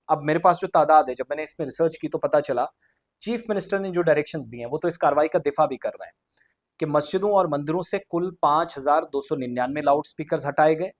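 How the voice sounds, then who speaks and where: A man presents news commentary to viewers in an explanatory way, glossing formal terms in English. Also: fairly high pitch.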